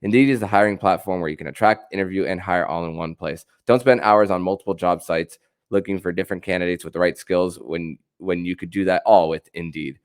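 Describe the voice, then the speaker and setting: Deep-voiced, clear male sports podcast host. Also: brisk. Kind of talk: sports podcast hosting with ad reads.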